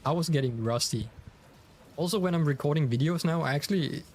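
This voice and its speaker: A man's voice: a little high, a little fast, darkish tone, a little hiss or hum. A young man with a Danish accent, casually chatting with viewers on a game stream, full of fillers and restarts. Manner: chatty, many fillers and restarts, reads chat aloud. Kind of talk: casual live stream chat.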